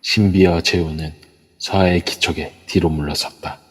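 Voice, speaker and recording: A man narrating an amateur audio drama in a steady storytelling manner. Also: low-pitched, moderate pace. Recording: long echoey reverb.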